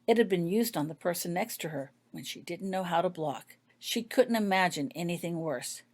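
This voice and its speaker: Female author-narrator for scripted fiction, pitched a little low. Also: expressive, moderate pace, darkish tone. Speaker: author reading own fan fiction.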